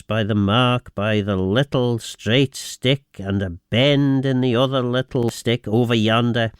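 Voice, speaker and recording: A man's voice: medium pitch, dry recording, clean studio sound. A man patiently explains the meaning of an old children's rhyme.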